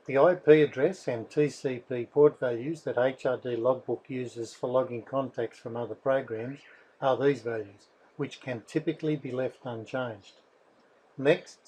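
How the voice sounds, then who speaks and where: A man, reading a scripted software tutorial in a methodical, instructional way. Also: mid-range voice, slight background noise, low-quality recording. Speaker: software developer presenting a tutorial.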